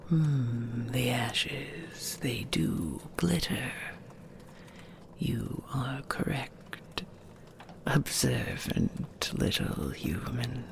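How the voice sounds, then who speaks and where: An ancient she-dragon, played by a woman, murmurs in a very slow, low whisper full of heavy creak. She is tender and possessive, coaxing her listener with hypnotic, old-fashioned formality in an intimate ASMR roleplay. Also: animated, exaggerated voice.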